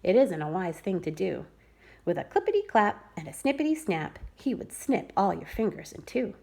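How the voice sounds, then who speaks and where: A woman preschool teacher reading a rhyming picture book aloud to children with expressive storytelling. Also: mid-range voice, moderate pace, gravelly. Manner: expressive, sing-song storytelling.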